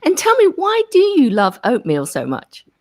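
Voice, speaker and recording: A woman co-host asking interview questions on a video call, encouraging and quick to praise. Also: sits high, huge pitch swings, darkish tone.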